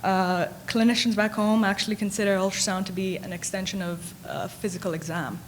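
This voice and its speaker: A woman's voice: mid-range voice, steady tone, brisk, a little hiss or hum. A young woman from the Caribbean, a radiology resident, giving a friendly conference talk with light humor. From Caribbean, grew up in Grenada. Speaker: radiology resident, panel speaker. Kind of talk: conference presentation. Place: conference hall.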